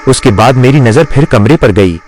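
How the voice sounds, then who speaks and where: A man's voice: medium pitch, lively intonation, moderate pace, a little mellow, faint music behind. A man narrating a scripted horror story in the first person.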